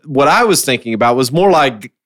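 A man's voice: medium pitch, lively intonation, fairly quick, fairly bright tone, dry recording. Man hosting a podcast, dry close sound. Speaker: podcast host. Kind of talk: casual podcast chat.

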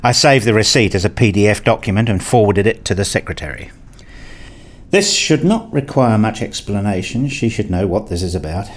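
A man's voice: on the low side, animated, a little fast. A man acting a frustrated, restless academic who narrates his dreary days in a radio play, wry and grumbling. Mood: glum, matter-of-fact. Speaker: narrator and lead character. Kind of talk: first-person radio play narration and dialogue.

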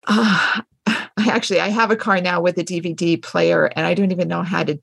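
Gravelly low-pitched woman. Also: steady tone, a little fast, a bit bright, no room sound, clean studio sound. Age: middle-aged. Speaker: podcast host. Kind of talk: casual chat.

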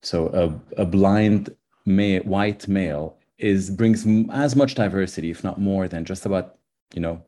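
Deep, mellow man from Lebanon. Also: a little slow. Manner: thoughtful, many fillers. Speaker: guest, nonprofit CEO. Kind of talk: interview answers.

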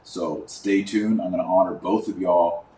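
In a roomy, echoing space, a man with a low voice and an American accent speaks a little away from the mic. He delivers informal podcast commentary, stacking emphatic lists and repeating words for effect. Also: steady tone, moderate pace, mellow. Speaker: podcast host; online course teacher. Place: indoors at a desk. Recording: roomy echo, distant mic, faint noise.

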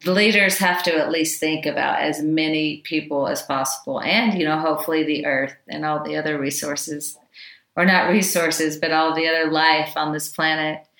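Low-voiced, loud woman in an echoey room. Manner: loud voice, chatty with many asides. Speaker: Chinese medicine teacher. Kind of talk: informal teaching talk.

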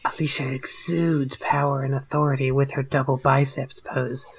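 A woman's voice: very deep voice, little pitch movement, normal speed, husky. A woman co-host reading forum posts aloud in a mock-serious tone during a casual comedic chat.

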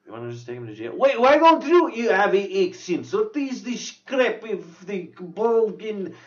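A man's voice: sits high, sing-song, moderate pace, muffled recording. A man co-hosting a casual podcast, joking around and slipping into silly mock voices. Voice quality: jokey, slips into mock accents. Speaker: podcast co-host.

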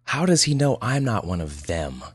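Casting: male narrator as weary physician, low voice, dry and patient, skeptical, audiobook dialogue. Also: big pitch swings, moderate pace, slightly rough, no echo.

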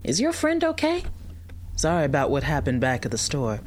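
A woman's voice: low voice, wildly swooping pitch, normal speed, a little mellow, exaggerated voice. A woman playing a hospitable, plain-spoken American mother who runs a barbecue stand, talking casually in an audio drama. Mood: welcoming. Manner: folksy, casual, straight-talking. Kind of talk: audio drama acting, conversational.

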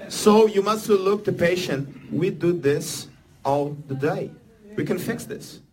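Guest: Brazilian-accented man, slightly high voice, faint background noise, halting English. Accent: Brazilian Portuguese. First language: Portuguese. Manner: halting non-native English, animated when acting out patients. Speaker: orthopedic surgeon speaking as guest. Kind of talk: unscripted talk to an audience.

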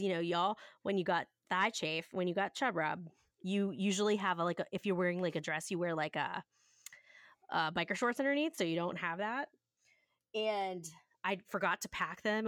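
A woman's voice: fairly low pitch, a bit bright, no room sound, clean studio sound. A woman hosting a podcast in casual conversation, talking in a candid, emphatic, chatty way with free swearing. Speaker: podcast host.

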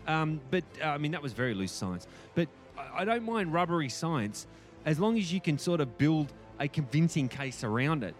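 Male podcast co-host for casual movie chat, slightly high voice, thoughtful and rambling, faint music underneath. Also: sing-song, moderate pace. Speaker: podcast co-host reviewing films.

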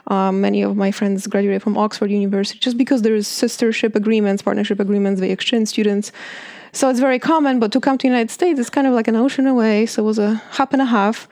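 A woman's voice: mid-range voice, steady tone, fast, a little mellow, dry recording, studio quality. A woman in her late thirties from Poland with a heavy Polish accent, chatting as an interview guest with many fillers and restarts. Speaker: beauty industry guest. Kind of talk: interview answers.

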